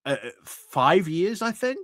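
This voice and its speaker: A man's voice: sits high, very animated, slow pace, a bit bright, no room sound. A man commenting casually, as a streamer would, in a very dry recording with little room sound. His delivery is conversational and hesitant, feeling its way through each point. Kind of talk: casual off-the-cuff political commentary.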